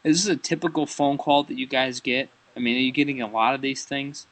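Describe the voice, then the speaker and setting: A man's voice: medium pitch, steady tone, a little fast, fairly bright tone, dry recording. A man improvising a prank phone call as a comedy host, rambling and stammering and often repeating himself.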